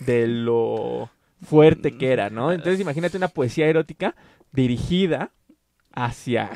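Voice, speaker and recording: A man's voice: medium pitch, unhurried, vocal fry, darkish tone, no echo, studio quality. A man hosting a casual literary podcast, explaining at length with fillers and restarts. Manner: explanatory, many fillers and self-corrections. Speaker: podcast host.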